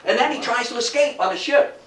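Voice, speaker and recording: A man's voice: extremely high pitch, fairly quick. Older man lecturing in an echoey room. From Athens, New York, United States. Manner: animated, emphatic, repeats phrases, rhetorical questions. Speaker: local history lecturer. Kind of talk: informal public lecture with storytelling. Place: indoor talk before a small audience. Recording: echoey room, distant mic, faint noise.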